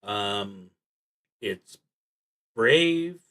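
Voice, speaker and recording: A man streaming a solo tabletop roleplaying game, thinking aloud and reading out prompts in a halting, deliberate way with long pauses. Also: on the low side, animated, fairly bright tone.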